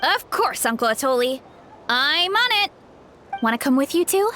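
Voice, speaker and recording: A woman's voice: high-pitched, very animated, normal speed, sharp tone, faint music behind, exaggerated voice. A woman voicing a cheerful, easygoing young tribeswoman in a video game, laughing easily as she talks. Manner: upbeat, breezy, laughs easily. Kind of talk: game voice acting.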